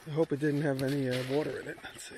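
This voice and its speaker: A man's voice: a little high, flat delivery, rapid-fire, very noisy. A man hosting casually while fixing an old tractor outdoors, easygoing and swearing now and then. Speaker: host. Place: outdoors in a snowy yard.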